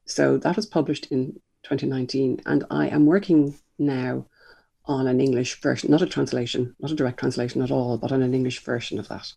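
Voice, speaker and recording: An older speaker with an Irish accent talks reflectively in an interview, pausing on ums and restarting sentences. The voice is gravelly and husky with a dark, mellow tone. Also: medium pitch, fairly even intonation. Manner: thoughtful, hesitant, many ums and restarts. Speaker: children's author and publisher.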